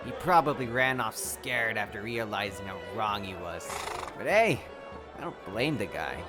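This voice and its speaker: A man playing a gruff, boastful, hard-drinking pony in an audio drama, with a gravelly, sardonic delivery. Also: on the low side, animated, moderate pace, close to an everyday voice. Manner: sardonic, swaggering. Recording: tavern crowd and music behind.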